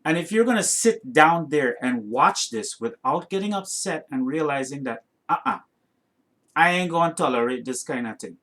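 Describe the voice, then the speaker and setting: Male reaction host, higher-pitched voice, North American accent with some Trinidadian, emphatic and indignant. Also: lively intonation, moderate pace, fairly bright tone. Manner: emphatic, indignant, rhetorical tag questions. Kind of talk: opinionated reaction commentary to camera.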